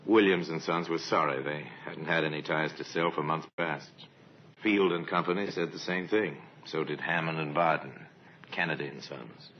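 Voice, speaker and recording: Grave radio crime narrator, very low, measured and dramatic, dulled vintage sound. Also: male voice, steady tone, slight background noise. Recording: muffled old radio recording, music behind.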